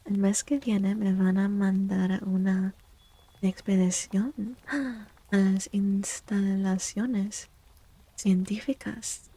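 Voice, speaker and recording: Soft, creaky-voiced woman doing ASMR. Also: mid-range voice, no room sound, rough recording. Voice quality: soft, breathy, often dropping into a whisper. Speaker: live streamer doing tarot readings for chat.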